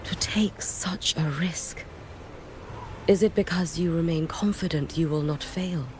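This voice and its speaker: A woman voicing a stoic, solemn female warrior in a video game, speaking formally and softly, with a breathy edge. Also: fairly low pitch, a little hiss or hum, close to an everyday voice. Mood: solemn, measured. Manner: formal, measured, grave. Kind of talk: scripted video game voice acting.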